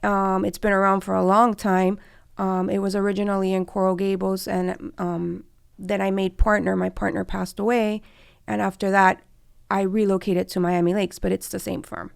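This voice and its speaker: A woman's voice: medium pitch, level tone, moderate pace, vocal fry, clean tone, no echo, clean studio sound. A woman in her forties from Cuba, telling her own story conversationally as an interview guest. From born in Cuba, came to the US as a child. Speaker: guest, immigration lawyer and law firm partner.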